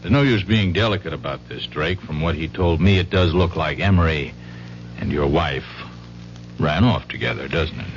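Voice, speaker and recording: An actor playing a shrewd, folksy police detective in a 1970s radio mystery, probing with hesitant asides. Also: male voice, a bass voice, normal speed. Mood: tactfully probing. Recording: muffled, noisy 1970s radio recording with hum.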